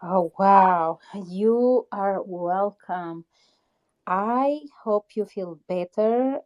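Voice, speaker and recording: A woman's voice: medium pitch, darkish tone. A woman hosting an online audio chat room, talking in an effusive, uplifting way about spirituality and intentions. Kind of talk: spiritual encouragement in casual chat. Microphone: phone or headset in an online audio room.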